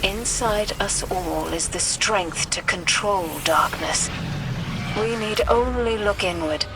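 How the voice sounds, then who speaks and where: A woman playing a wise, composed mentor in a video game, speaking in an earnest, measured way. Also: mid-range voice, over loud music. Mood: calm conviction. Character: composed, wise female mentor of sci-fi guardians. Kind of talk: scripted video game mission dialogue.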